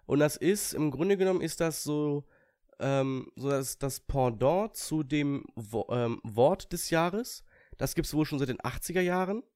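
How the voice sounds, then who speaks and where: A slightly hoarse young man co-hosting a podcast in casual chat, relaxed, with fillers and short pauses. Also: fairly high pitch, dry recording, studio quality. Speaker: podcast co-host.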